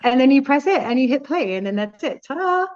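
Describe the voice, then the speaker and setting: A woman's voice: high voice, big pitch swings, brisk, a little mellow, highs cut off. A woman who hosts her own radio show, explaining things step by step in a chatty, playful way during a casual talk. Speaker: radio host. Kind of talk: casual chat.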